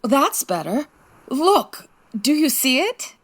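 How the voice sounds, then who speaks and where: A woman playing a sassy, sharp female private detective in a comedic 1940s-style radio drama, with wry, teasing delivery. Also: high voice, big pitch swings, slow, heavily acted. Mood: excited, curious. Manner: wry, teasing, dry quips.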